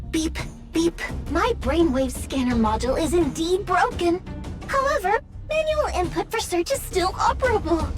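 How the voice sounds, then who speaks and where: A woman voicing a small, cheerful helper robot in a video game, with chirpy, formulaic machine phrasing. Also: very high voice. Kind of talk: video game cutscene acting. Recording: prominent music behind the voice.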